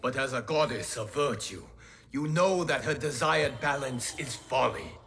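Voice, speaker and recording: An actor playing a noble, resolute warrior hero in a video game, solemn and earnest. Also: male voice, mid-range voice, unhurried, gravelly, noisy background. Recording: long hall reverb, music behind.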